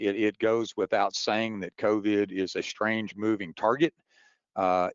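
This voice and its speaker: Male webinar host, very deep voice, informal official briefing, rephrases often, echoey muffled audio. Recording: dull, roomy webinar audio.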